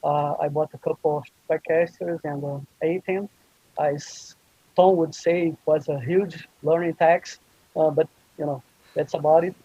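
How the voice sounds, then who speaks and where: A man's voice: a little high, fairly even intonation, moderate pace, warm tone, slightly rough, dry recording, muffled recording. A man from Brazil with a Brazilian Portuguese accent, a guest talking casually on a video call with frequent hesitations. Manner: hesitant, many fillers. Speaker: guest, live streaming producer.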